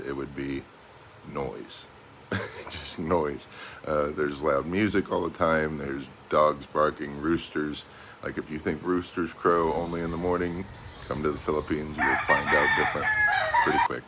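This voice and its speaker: A man's voice: very deep voice, a bit bright, a little hiss or hum. A middle-aged American man speaking casually and unscripted, as a vlogger talking to his viewers. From United States. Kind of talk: casual vlog monologue.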